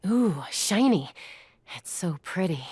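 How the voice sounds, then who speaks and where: A woman's voice: fairly low pitch, expressive, slow, mellow, breathy edge, heavily acted. A woman voicing a worldly, playful, money-savvy mediator in a video game. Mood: playful, smooth.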